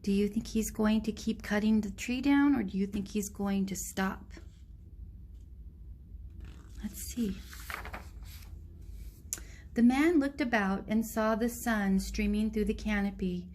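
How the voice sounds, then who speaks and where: A woman teacher reading a storybook aloud to young children in a deliberate, direct way. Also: medium pitch, a little mellow.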